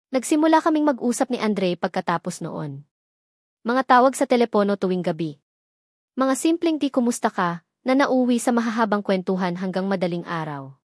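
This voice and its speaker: A woman's voice: medium pitch, darkish tone, no echo, clean studio sound. A woman with a Filipino accent reading a scripted first-person romance story as a storyteller.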